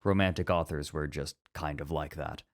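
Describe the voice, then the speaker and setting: Roleplay professor, male actor: deep register, scholarly, formal, reasoned traditionalist with pedantic care. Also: steady tone, moderate pace. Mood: scholarly, measured. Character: measured, scholarly, traditionalist male literature teacher. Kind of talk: scripted ASMR roleplay acting.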